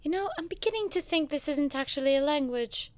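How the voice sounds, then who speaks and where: Elegant young lady scholar: wry, teasing, arch, slightly high voice, audio drama. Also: lively intonation, fairly quick, slight background noise.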